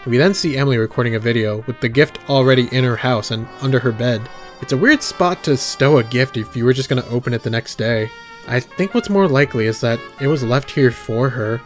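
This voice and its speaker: A man giving casual, wry, sweary commentary and reactions on a horror series. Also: medium pitch. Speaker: video essayist and reactor. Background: background music.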